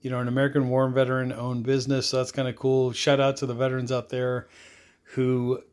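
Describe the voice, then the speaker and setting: A man's voice: mid-range voice, monotone, fairly quick, darkish tone, smooth. A man doing a casual product unboxing review, talking in a rambling, offhand way full of fillers.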